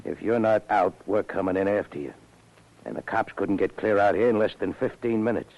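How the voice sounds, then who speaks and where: An actor playing a cold, threatening gangster boss in a 1940s radio crime drama, curt and commanding. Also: male voice, on the low side, brisk, close to an everyday voice. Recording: dull old-time radio recording.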